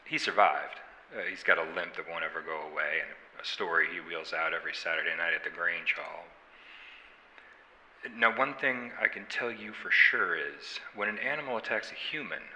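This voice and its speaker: Deep, hard-edged homicide detective. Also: male voice, pushed and bright, long reverb tail, a little hiss or hum, low-quality recording. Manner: folksy, deliberate, sarcastic. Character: gruff small-town homicide detective, sardonic, needling, quietly menacing. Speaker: police detective. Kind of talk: audio drama interrogation acting.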